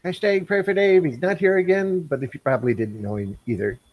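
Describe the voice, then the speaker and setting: A man's voice: fairly high pitch, brisk, dry recording, lo-fi sound. A man hosting a casual live stream, reading the chat aloud and joking back at his co-host.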